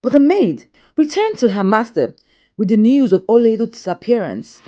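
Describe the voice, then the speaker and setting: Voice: mid-range voice, sing-song, moderate pace, dark tone, highs cut off. A narrator telling a scripted folktale in a solemn, theatrical way.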